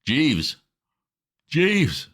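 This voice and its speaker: A man voicing a flustered, excitable young gentleman who bursts out in indignant exclamations in a storybook reading. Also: mid-range voice, voice pushed up. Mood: alarmed. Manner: exclamatory, indignant, rapid outbursts.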